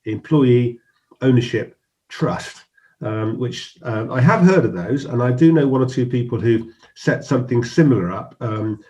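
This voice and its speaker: A middle-aged man hosts a business interview. He talks in a friendly, unhurried way, often stopping and restarting with ums and uhs. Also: mid-range voice, lively intonation. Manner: warm, hesitant, many restarts and fillers. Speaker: host.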